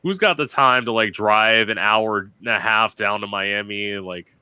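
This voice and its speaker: A man's voice: on the low side, moderate pace, pushed and bright, clear, resonant tone, dry recording. A young man from Florida hosting a podcast, chatty and sassy, filling his talk with "like". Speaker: podcast host. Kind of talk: casual chat.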